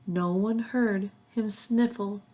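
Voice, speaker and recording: A woman reading a children's picture book aloud like a teacher, with expressive, rhythmic phrasing aimed at young listeners. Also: medium pitch, takes it slowly, noisy background. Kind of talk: children's storybook read-aloud.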